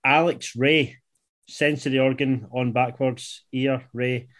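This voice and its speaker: A man's voice: medium pitch, fairly even intonation, takes it slowly, noisy background. A man in his late twenties with a Scottish accent, hosting a quiz in casual football podcast banter. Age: late 20s. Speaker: podcast producer and quiz host.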